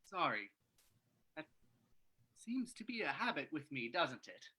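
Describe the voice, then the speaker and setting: Two-faced male villain for radio play , played by a woman: theatrical, bright, wildly swinging pitch. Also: very animated, measured pace, exaggerated voice. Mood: meek, apologetic. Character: villainous Mister Darrow, meekly apologetic man turning maniacal. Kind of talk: old-time radio drama reading.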